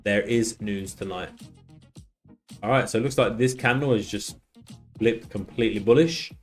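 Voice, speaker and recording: A man's voice: fairly low pitch, normal speed, faint music behind. A man on a casual live stream, chatty, reading out chat messages and losing his voice. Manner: chatty, reads out chat messages, many restarts. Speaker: livestream trader.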